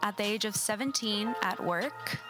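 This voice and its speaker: A woman's voice: medium pitch, normal speed. A woman from Southern California, a musician and chef telling her own story as the narration of a short film. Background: loud film score music.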